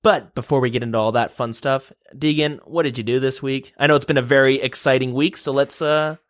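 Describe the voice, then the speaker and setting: A young man hosting a podcast in a relaxed way, leading the talk and reading out news. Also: medium pitch, a little fast. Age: young adult. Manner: steady, runs the show and leads topics. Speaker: podcast host. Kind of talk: casual chat, reading news. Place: shared room, two mics.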